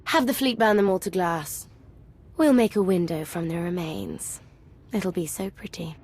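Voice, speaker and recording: An actress playing a gleefully sadistic game villainess who sounds teasing and sweet while being cruel. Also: female voice, fairly low pitch, moderate pace, darkish tone, breathy edge. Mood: gleeful, taunting. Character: playful, sadistic villainess, gleeful about destruction.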